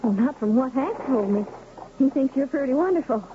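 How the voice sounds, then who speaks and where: An earnest, high-voiced ranch girl. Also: expressive, brisk. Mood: grateful, earnest. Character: earnest, dutiful young rancher's daughter, resigned in love. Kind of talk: 1970s radio drama acting. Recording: thin phone-like old radio, faint noise.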